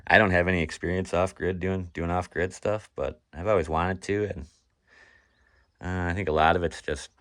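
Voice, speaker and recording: Very deep, nasal young man rambling. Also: a bit bright, no room sound, clean studio sound. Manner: rambling, laid-back, many fillers and restarts. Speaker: off-grid cabin vlogger. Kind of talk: casual talk to camera. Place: outdoors at an off-grid cabin property.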